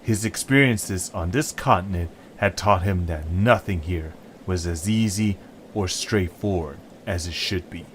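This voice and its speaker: A man's voice: deep voice, measured pace, fairly bright tone, slight background noise. A man reading scripted narration for an audio story. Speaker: narrator and lead voice actor.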